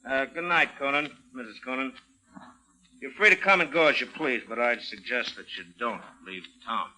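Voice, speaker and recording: An American actor playing a shrewd, suspicious homicide lieutenant in a vintage radio crime drama, clipped and sardonic. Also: male voice, medium pitch, moderate pace, sharp tone. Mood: sardonic, warning. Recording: noisy vintage radio recording with clicks.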